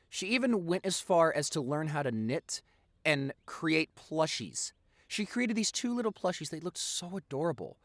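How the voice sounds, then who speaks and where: A man playing a chatty, protective teenage boyfriend in an audio roleplay, rambling and halting as he reminisces, repeating words for emphasis. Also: a little high, a bit bright, dry recording. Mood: earnest, fond.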